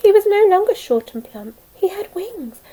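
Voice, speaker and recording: A woman speaking as a nursery teacher, telling a story to young children in a simple, expressive way. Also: extremely high pitch, moderate pace.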